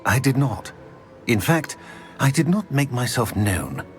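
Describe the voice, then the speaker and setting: A man's voice: medium pitch, sing-song, unhurried. An actor playing the living portrait of a dignified old male wizard in a video game, formal and grave. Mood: grave, reserved. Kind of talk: video game cutscene acting. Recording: music under the voice. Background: music.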